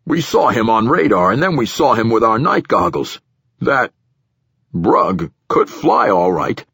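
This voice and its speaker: A defensive, heavyset station director, played by a male audiobook reader, answers to a superior in a raised, animated voice. He is flustered and deferential, hedging with folksy turns, his tone a bit husky. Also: medium pitch, a little slow, no echo, studio quality, voice pushed up, exaggerated voice. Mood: defensive, excitable. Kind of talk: audiobook character dialogue.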